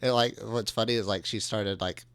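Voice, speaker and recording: A man's voice: on the low side, steady tone, normal speed, clean tone, no echo. A young man from Alabama with a light Southern accent, chatting casually as a podcast co-host, filling his talk with "like". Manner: laid-back, lots of "like" fillers. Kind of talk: casual chat.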